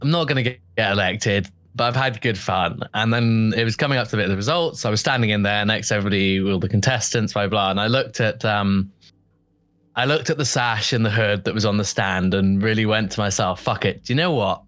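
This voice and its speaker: A man's voice: on the low side, a little fast, fairly bright tone, no echo. A young man telling his story as a podcast guest in a casual, chatty way, often laughing and quoting himself.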